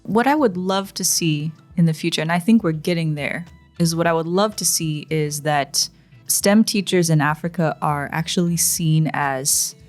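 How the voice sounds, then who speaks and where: Low-voiced woman speaking thoughtfully. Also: moderate pace, few pauses, faint music behind. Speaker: guest, education founder. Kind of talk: interview conversation.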